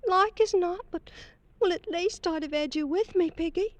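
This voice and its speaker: A woman's voice: very high voice, moderate pace, no room sound. A woman playing an anxious, lovestruck thirteen-year-old girl in an old-time radio drama, girlish and pleading. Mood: worried, tender. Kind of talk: 1940s-50s radio drama acting.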